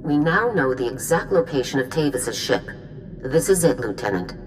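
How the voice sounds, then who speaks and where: Stern, low-voiced military general. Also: female voice, big pitch swings, normal speed, a little hiss or hum. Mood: urgent, commanding. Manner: clipped, authoritative, dry. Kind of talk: scripted video game acting. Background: music.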